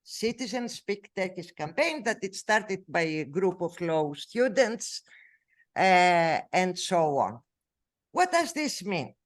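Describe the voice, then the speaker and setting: Greek-accented female scholar, non-native English speaker; slow, hesitant lecture delivery, animated intonation. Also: lowish voice. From Greece. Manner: halting, many fillers and self-corrections. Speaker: researcher giving a talk. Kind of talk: academic lecture.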